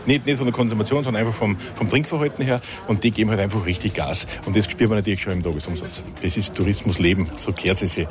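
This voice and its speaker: Male spokesman, low voice, rapid colloquial talk, street and traffic with loud music. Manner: lively, colloquial, enthusiastic. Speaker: tourism spokesman. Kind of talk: interview soundbite.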